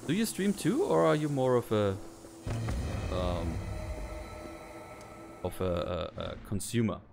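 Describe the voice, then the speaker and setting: Gravelly man chatting over a game. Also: on the low side, big pitch swings, slow, music in the background. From Austria. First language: German. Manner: rambling, chatty, many fillers. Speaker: streamer. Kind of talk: casual let's play commentary.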